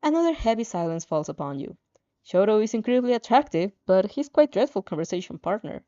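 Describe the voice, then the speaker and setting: A woman narrates fan fiction in an animated, swooping voice with a mellow tone. She drops in playful, casual reactions and sometimes trips over a word. The audio is dull and lo-fi. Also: mid-range voice, fairly quick. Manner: animated, playful asides, stumbles over words.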